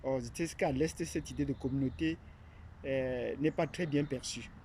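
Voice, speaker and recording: A man from Cameroon speaks in a mellow, rather dark voice, unscripted and searching for words. He pauses often, fills gaps and restarts his sentences. A faint hiss sits under the recording. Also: medium pitch. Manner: hesitant, many fillers and restarts. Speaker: academic presenting his platform. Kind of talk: unscripted monologue.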